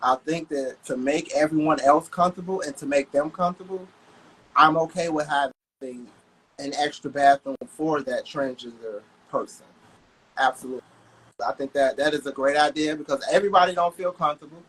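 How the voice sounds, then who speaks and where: A man's voice: on the high side, fairly quick, a bit bright, a little hiss or hum, poor audio. A man co-hosting a podcast, talking bluntly and emphatically in casual chat, with some joking. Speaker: podcast co-host.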